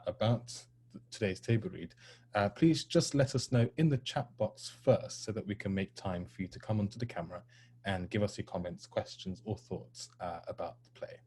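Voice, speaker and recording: A man's voice: on the low side, darkish tone. A man hosting an online discussion in a polite, hesitant, informal way. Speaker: host moderating a play discussion. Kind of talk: informal event moderating.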